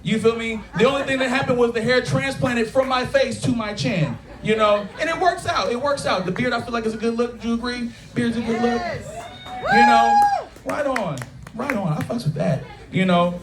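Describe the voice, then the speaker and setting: A man's voice: extremely high pitch, very animated, moderate pace. A man in his thirties doing a crude, conversational stand-up set at a taproom, often checking in with the crowd. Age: 30s. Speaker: stand-up comedian. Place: taproom stage before a live crowd. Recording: echoey bar room with crowd. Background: audience laughter.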